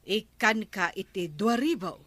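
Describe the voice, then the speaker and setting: A woman's voice: mid-range voice, animated, a little slow, fairly bright tone, no room sound. A woman with an Ilocano accent playing a bargaining village woman in a radio comedy drama. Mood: coaxing. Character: bargaining village woman hiring farm help.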